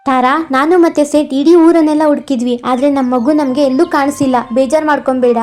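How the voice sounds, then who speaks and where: A woman voicing a gentle poor woodcutter husband in a cartoon, soothing and reassuring. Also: sits high, fairly even intonation, brisk, soft background music, lightly acted.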